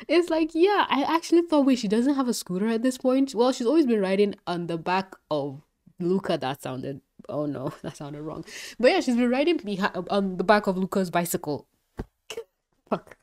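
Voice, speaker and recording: A young adult woman reviewing a cartoon episode in casual commentary, gushing and excitable, swearing freely, sounding congested and nasal. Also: mid-range voice, normal speed.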